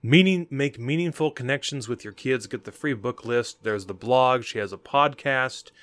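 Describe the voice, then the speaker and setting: A man's voice: mid-range voice, normal speed, a bit bright, dry recording. A man co-hosting a podcast in casual chat, joking and laughing often. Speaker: podcast co-host.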